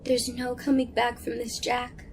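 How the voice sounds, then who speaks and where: A woman's voice: a little high, completely flat delivery, measured pace, a little hiss or hum. A woman playing a cold, disdainful young girlfriend in a dramatized horror story. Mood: cold, resolute.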